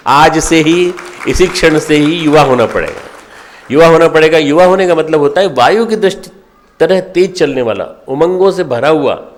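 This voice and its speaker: An older man speaks in a medium-pitched voice as a spiritual leader addressing his followers. He exhorts them in short phrases, pausing between them. Key words are repeated for emphasis in a motivational discourse.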